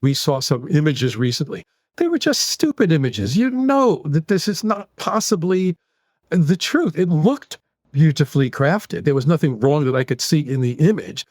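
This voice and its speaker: A man in his sixties with a New York accent talks in long, animated run-on sentences as a podcast interview guest. His voice sits fairly high for a man. The recording is dry and close. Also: moderate pace, no echo. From United States. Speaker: guest psychologist.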